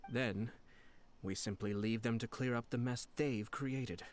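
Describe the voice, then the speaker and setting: A man voicing a composed, calculating young nobleman in a video game, polite, measured and formal. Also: mid-range voice, fairly even intonation, a little hiss or hum, lightly acted. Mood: coolly calculating. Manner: measured, formal, smooth-talking. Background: soft game music.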